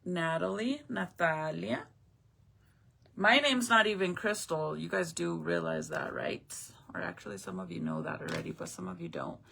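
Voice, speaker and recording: Casual woman streamer, first language Spanish, gravelly fry, lively up-and-down delivery, swears easily. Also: sits low, moderate pace. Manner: chatty storyteller, swears casually. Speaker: live streamer. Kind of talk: casual chat with viewers.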